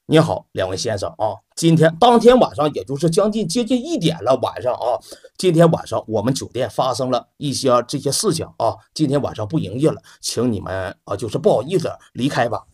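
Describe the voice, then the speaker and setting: A man's voice: sing-song, a little fast, a little mellow, breathy edge. A man voicing an impatient male hotel waiter who curtly brushes guests off. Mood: dismissive. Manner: low, curt, impatient brush-off.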